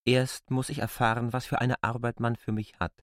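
A man's voice: medium pitch, level tone, normal speed, no echo, studio quality. A man performing a scripted dramatised reading of classic literature. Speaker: reader in a literary radio drama.

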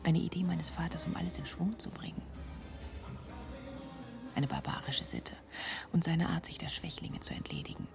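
An actress playing a sheltered rich young daughter in a dubbed 1950s thriller, ironic and teasing. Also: female voice, sits low, fairly even intonation, moderate pace, gravelly, long pauses, whispered, over music. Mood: hushed scorn.

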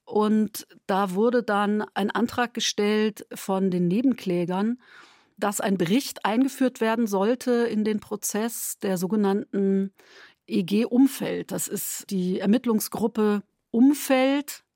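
A woman who works as a radio drama editor, explaining in an interview in a measured way, searching for precise terms. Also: medium pitch, a little mellow, no room sound, clean studio sound.

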